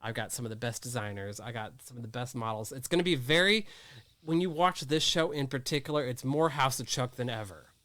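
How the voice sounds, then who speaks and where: A man's voice: mid-range voice, lively intonation, a little fast, fairly bright tone, no room sound. A man in his thirties chatting casually in an interview, rambling and restarting often. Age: 30s. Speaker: magazine editor and producer.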